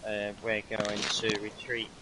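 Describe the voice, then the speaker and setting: A man leading a roleplay squad, briefing the group in a calm, steady, instructive way with frequent uhs. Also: on the low side, a little slow, background noise. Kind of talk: in-character tactical briefing.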